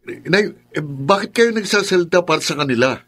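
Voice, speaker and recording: Protective filipino older brother, youngish, kinda high voice, firm and insistent, radio drama. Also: male voice, lively intonation, brisk, fairly bright tone. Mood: indignant, confronting. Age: young adult. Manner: insistent, questioning, emphatic. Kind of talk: Tagalog radio drama acting.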